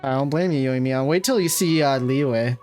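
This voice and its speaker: A woman game streamer with a low voice talks casually over gameplay. Her reactions are chatty and offhand, with faint music behind her. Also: normal speed, a little mellow.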